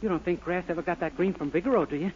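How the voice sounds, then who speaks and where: A man's voice: sits high, very fast, natural voice. An actor playing a naive, eager young man who spins wild tales in a 1940s radio sitcom. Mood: deadpan. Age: young adult. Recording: muffled, hissy old 1940s radio recording.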